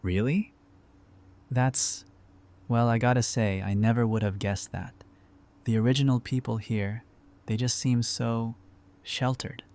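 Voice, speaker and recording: Man acting a low-voiced, wry survivor. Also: a little mellow. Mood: curious. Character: easygoing, wry male survivor, friendly and pragmatic. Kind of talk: fan audio drama dialogue.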